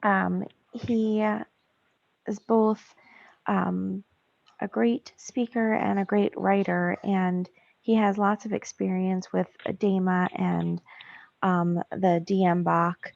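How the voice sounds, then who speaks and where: Female meeting host with a gravelly edge, hesitant delivery, muffled dry call sound. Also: medium pitch, little pitch movement, darkish tone, dry recording. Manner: halting, frequent ums. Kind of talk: webinar introduction. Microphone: video call. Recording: dull dry call audio.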